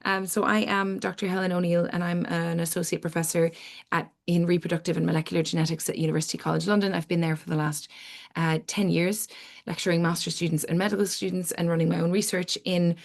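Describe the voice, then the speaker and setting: A woman's voice: lowish voice, little pitch movement, fast, creaky. A middle-aged woman hosting an informal webinar, explaining things in long, flowing sentences with lists of points. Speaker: webinar host, academic scientist.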